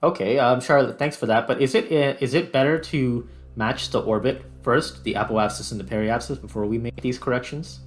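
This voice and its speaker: A man giving casual gameplay commentary, thinking aloud in short, halting phrases. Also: mid-range voice, faint music behind. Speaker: gaming video host.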